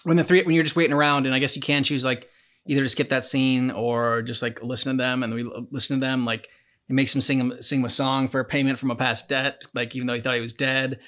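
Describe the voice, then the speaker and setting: A man's voice: mid-range voice, little pitch movement, fast talker, a bit bright. A man in his thirties co-hosting a reaction show, chatting casually in a hesitant, rambling way. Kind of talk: casual chat. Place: small furnished room, on a couch.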